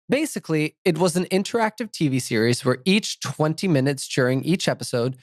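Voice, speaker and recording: A man's voice: a little high, dry recording, clean studio sound. A man co-hosting a podcast, reading out listener comments in casual chat and laughing often at his own mistakes. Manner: laughs often, animated and self-mocking. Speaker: podcast co-host.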